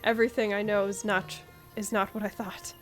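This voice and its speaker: A woman chats her way through a video game, reasoning aloud in an expressive, casual way. Her voice has a medium pitch, and music plays clearly behind her. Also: fairly quick. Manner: chatty, expressive thinking aloud. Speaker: game streamer. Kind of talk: casual playthrough commentary.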